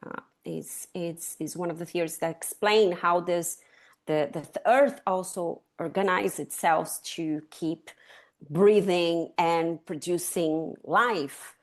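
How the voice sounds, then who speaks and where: A woman's voice: fairly low pitch, slow. Woman, 50s, Chilean accent, reflective, explanatory, interview guest. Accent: Chilean Spanish. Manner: reflective, explains ideas step by step. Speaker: guest, sustainability consultant. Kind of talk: conversational interview.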